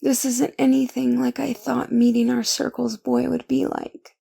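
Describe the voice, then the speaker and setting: A woman's voice: on the high side, level tone, moderate pace, gravelly, warm tone, breathy edge, heavily acted. A woman acting in an audio drama as a dazed, hungover, melodramatic woman who is plaintive and tender. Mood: weepy. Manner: groggy, plaintive, emotional.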